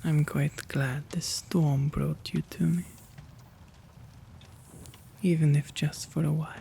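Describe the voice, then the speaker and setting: A man voicing a kind, courteous gentleman vampire in a soothing ASMR roleplay, gentle and tender, often slipping into a whisper. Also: a little high, fairly even intonation, slow pace, gravelly, a little hiss or hum. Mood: soothing, affectionate.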